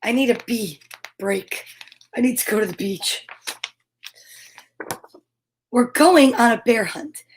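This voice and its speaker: A woman hosting an animated children's story read-aloud, with sing-song repetition, dramatic exclamations and casual asides. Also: fairly high pitch, slow pace, pauses often, a little hiss or hum. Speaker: host reading picture books aloud.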